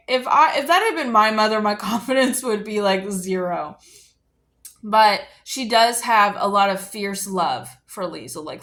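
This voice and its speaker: A woman's voice: medium pitch, a bit bright. A woman talks about books in a casual, chatty way, as the host of her own video. Her delivery is informal and conversational, with little asides along the way. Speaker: book review video host. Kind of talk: casual chatty book discussion.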